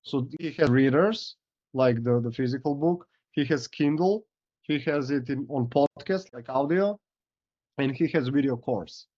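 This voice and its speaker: A man speaks as an in a casual coaching call, hoarse and running low on voice. His explanations wander with "like" and "uh". The video-call sound is dry and dull. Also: measured pace, a little mellow, no echo. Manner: loose, filler-heavy explaining. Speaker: coach giving feedback.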